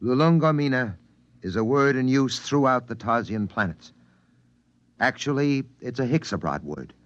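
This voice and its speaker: An actor playing a calm, shrewd, plain-spoken spacefaring man in a 1950s radio drama. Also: medium pitch, moderate pace, lightly acted. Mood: calm, knowing. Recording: dull, dry vintage radio recording.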